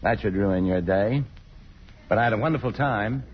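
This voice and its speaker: A man's voice: lowish voice, moderate pace, slightly rough. An actor playing an easygoing, wry man with restless enthusiasm, in 1950s radio drama style. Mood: wry, enthusiastic. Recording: noisy, dull old radio recording.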